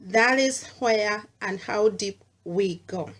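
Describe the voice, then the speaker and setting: A woman's voice: mid-range voice, slow pace, fairly bright tone. A middle-aged Kenyan woman with a Kenyan accent, speaking earnestly in a personal monologue and mixing English with Swahili. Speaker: vlogger sharing personal views.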